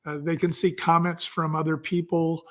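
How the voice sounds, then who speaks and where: A man talks as an entrepreneur guest in an interview. His voice is notably high for a man, and he speaks in a casual, conversational way. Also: little pitch movement, moderate pace.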